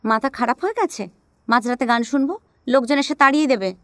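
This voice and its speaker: A woman's voice: on the high side, quick pace, fairly bright tone, no room sound, lightly acted. An actress playing a detective's cheeky, impatient, curious female companion in an audio drama, teasing and questioning. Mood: grumbling.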